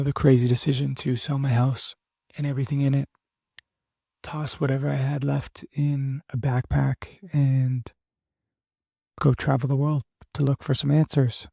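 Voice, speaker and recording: A young man losing his voice, talking candidly and haltingly in a reflective personal monologue as a podcast host. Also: medium pitch, level tone, gravelly, dark tone, no room sound, clean studio sound. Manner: halting, candid, many fillers and restarts.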